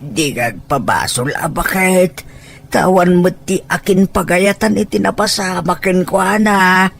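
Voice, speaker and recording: A woman's voice: fairly low pitch, measured pace, creaky, slight background noise. A woman with a Filipino accent playing a distressed parent questioning things in a radio-style drama. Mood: defensive.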